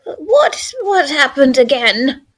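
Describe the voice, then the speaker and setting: Woman acting slow, high-pitched anxious mother. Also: expressive, poor audio. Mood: startled. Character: anxious but stubborn old-fashioned mother of grown son. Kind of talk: period ghost-story audio drama acting.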